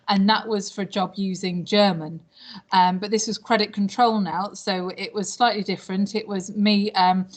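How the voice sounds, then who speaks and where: A British woman in her late thirties speaks as an interviewee at a medium pitch. She explains things informally and chattily, often pausing to find her words. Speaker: language teacher, interviewee. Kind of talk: informal interview answers about past jobs.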